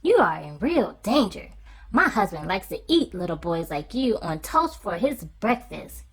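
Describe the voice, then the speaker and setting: Giant's wife: motherly, soft, sympathetic, a little higher than the narrator, put on by a woman. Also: big pitch swings, a little slow, slightly rough, exaggerated voice. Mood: kindly.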